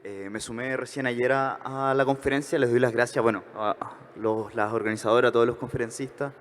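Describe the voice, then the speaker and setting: Fast-talking Chilean man in reverb. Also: medium pitch, steady tone. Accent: Chilean Spanish. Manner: fast talking with frequent hesitations and self-corrections. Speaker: conference speaker. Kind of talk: spoken reflection at a conference workshop.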